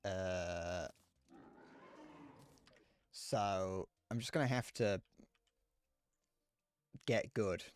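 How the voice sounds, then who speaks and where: A man's voice: low-pitched, extremely slow, long pauses, clean tone, dry recording, studio quality. A young British man streaming a game, chatting casually in a slightly whiny voice, rambling and self-deprecating, swearing now and then. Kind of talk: casual live gameplay commentary.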